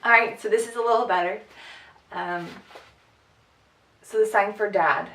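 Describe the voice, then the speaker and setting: A woman's voice: mid-range voice, steady tone, a little slow, fairly bright tone. A woman with a cold, a bit short of breath, teaching a casual sign language lesson with lots of ums and light laughs. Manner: chatty, many "um"s, light laughs. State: sick, sniffly, short of breath. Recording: echoey room, far from mic.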